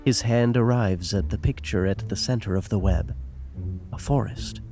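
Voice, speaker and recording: A man's voice: lowish voice, moderate pace, music in the background. A man narrating a scripted comedy story in a playful, conversational and wry way. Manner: wry, animated.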